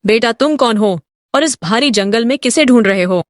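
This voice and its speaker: A woman's voice: on the high side, expressive, normal speed, no echo, close to an everyday voice. Woman playing a knowing old forest woman, dry sound. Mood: curious. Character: mysterious old forest hut woman, motherly yet reproachful. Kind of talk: Hindi cartoon fairy-tale character acting.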